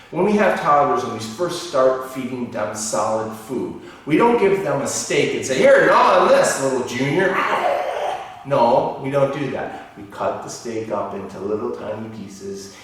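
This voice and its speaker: A middle-aged man from Ohio with a Midwestern American accent, a university lecturer explaining concepts in an animated teaching video. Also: medium pitch, fairly quick. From Ohio, United States. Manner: animated, gesturing, explaining in plain terms. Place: indoor office room. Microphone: far camera. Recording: echoey room, distant mic.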